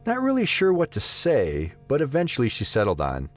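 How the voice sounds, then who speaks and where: A man narrating a scripted story in an expressive storytelling manner. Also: mid-range voice, brisk, soft background music.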